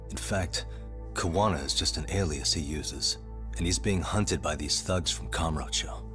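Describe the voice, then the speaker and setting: An actor playing a calm, protective detective in a game drama, talking in a measured, matter-of-fact way. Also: male voice, low-pitched, natural voice. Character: calm, steady male detective, frank and protective. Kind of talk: dramatic game cutscene dub acting.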